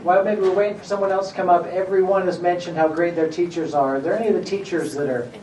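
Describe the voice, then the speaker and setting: A man speaking up a little high as he hosts a public meeting, friendly and encouraging. His voice comes from a distance in an echoey council chamber with a noticeable hum behind him. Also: steady tone, fast, darkish tone. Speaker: meeting host. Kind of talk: informal public meeting remarks. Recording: echoey chamber audio from afar.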